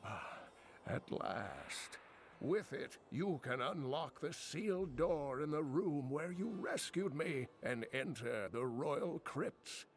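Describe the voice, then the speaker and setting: An actor playing an elderly, kindly and learned uncle in a fantasy video game, speaking expressively with grave foreboding. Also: male voice, a little high. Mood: solemn. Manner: slow, expressive, foreboding storytelling.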